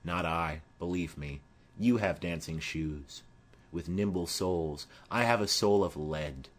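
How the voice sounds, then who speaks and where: A man's voice: low voice, measured pace. A man voicing a heavy-hearted, lovesick young man in a poetic, mournful Shakespeare audiobook reading. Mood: gloomy.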